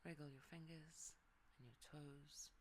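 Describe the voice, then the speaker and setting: A yoga nidra guide leading a calm relaxation meditation, speaking softly and often sinking into a whisper. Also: mid-range voice, a little slow, a little hiss or hum.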